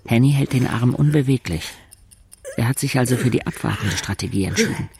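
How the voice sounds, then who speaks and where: Ein Mann als knarziger, trockener junger Erzähler. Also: male voice, mid-range voice, brisk, vocal fry, a little mellow, slight background noise, close to an everyday voice. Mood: tense, determined. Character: Fransje, wry young wheelchair-bound male narrator from a village. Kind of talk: first-person radio drama narration.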